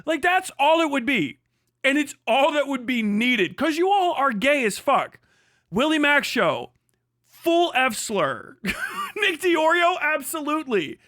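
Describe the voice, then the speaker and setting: A man's voice: extremely high pitch, huge pitch swings, unhurried, bright, forceful tone, dry recording, studio quality. A man hosting a livestream, delivering a profane, mocking commentary rant and laughing often. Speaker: livestream host.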